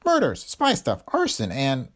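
A man's voice: mid-range voice, wildly swooping pitch, unhurried, a bit bright, pitched above the performer's own voice, exaggerated voice. A man voicing a crafty, cackling old male detective who talks in a folksy, ungrammatical way. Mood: grumbling.

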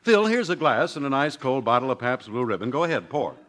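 A man's voice: medium pitch, big pitch swings, quick pace, a bit bright, slight background noise. A man with an American accent announcing and selling in a hearty, polished old-time radio style. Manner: hearty, polished sales delivery. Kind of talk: 1940s radio advertising and announcing. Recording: old radio broadcast recording.

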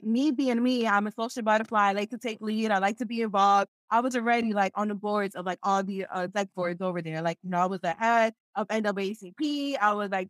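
Fast-talking young woman, candid and slangy. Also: mid-range voice, no room sound. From Philadelphia, United States. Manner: animated, slangy, candid, emphatic repetition. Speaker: interview guest, entrepreneur. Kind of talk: casual personal storytelling in an interview.

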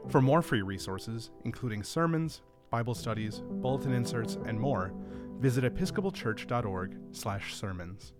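Guy reading a podcast outro, medium voice, loud guitar music behind him. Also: medium pitch, lively intonation, moderate pace. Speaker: podcast announcer.

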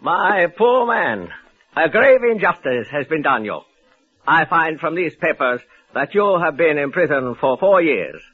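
An actor playing a solemn, formal Japanese judge speaking deliberately in a 1940s radio drama. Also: male voice, on the high side, sing-song, slightly rough, exaggerated voice. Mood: solemn, apologetic. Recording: muffled old radio recording with hiss.

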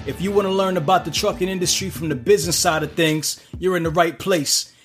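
A man hosting an interview podcast, talking in a relaxed, casual way with lots of fillers and restarts. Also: high-pitched, fairly quick, faint music behind. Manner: laid-back, many fillers and restarts. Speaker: podcast host.

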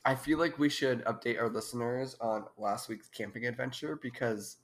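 A woman's voice: rumbling low, fairly even intonation, normal speed. A young woman in her twenties, a podcast co-host, talking casually and giggling often while stuffed up with allergies. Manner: giggly, rambling. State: congested. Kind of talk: casual chat.

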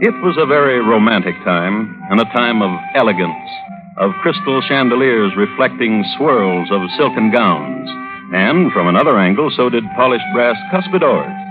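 An actor playing a dry, droll connoisseur of crime, hosting and narrating in ornate, wry, deliberate old radio style. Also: male voice, on the low side, sing-song. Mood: wry, measured. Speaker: host and narrator. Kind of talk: 1950s radio scripted narration. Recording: old narrowband radio recording with music.

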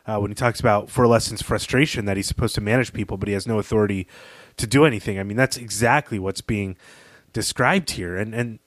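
A man's voice: on the low side, fast, no echo. A man co-hosting a podcast, giving conversational commentary in an opinionated, emphatic way. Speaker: podcast co-host.

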